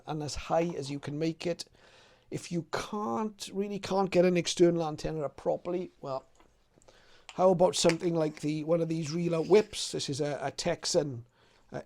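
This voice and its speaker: Male presenter, slightly high voice, conversational step-by-step explainer for a hobby channel. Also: fairly even intonation, moderate pace, a little mellow. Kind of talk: informal explainer to viewers.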